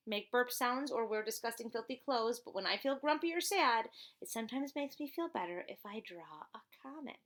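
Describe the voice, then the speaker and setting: A woman's voice: mid-range voice, fairly bright tone. A woman reading a children's storybook aloud as a teacher would, expressively and with chatty asides.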